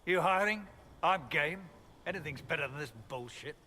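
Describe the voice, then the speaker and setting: 高めで鋭い声の英国訛りの傭兵隊長. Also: male voice, fairly high pitch, moderate pace, bright, forceful tone, slight background noise. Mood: eager, blunt. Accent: British. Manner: blunt, swearing, exasperated. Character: gruff, foul-mouthed mercenary captain, blunt and indignant. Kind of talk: fantasy video game voice acting.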